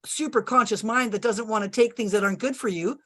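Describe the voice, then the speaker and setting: A woman's voice: a little high, brisk, a bit bright. A woman who practices natural health, confidently giving folksy advice on a video call. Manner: confident, folksy, uses sayings.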